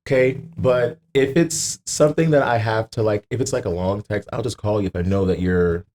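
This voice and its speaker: A man's voice: on the low side, normal speed, darkish tone. A man in a casual podcast chat, slangy and emphatic, telling exasperated stories with repetition and swearing. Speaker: podcast guest.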